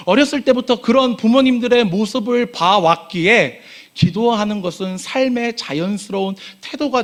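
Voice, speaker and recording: A young man preaching a sermon as pastor in a church sanctuary, earnest, pushing up on key phrases and dropping quiet at sentence ends. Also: high voice.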